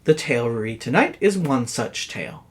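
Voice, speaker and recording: Theatrical man with swooping, animated delivery. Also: medium pitch, normal speed. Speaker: horror story narrator and host. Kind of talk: dramatic scripted narration.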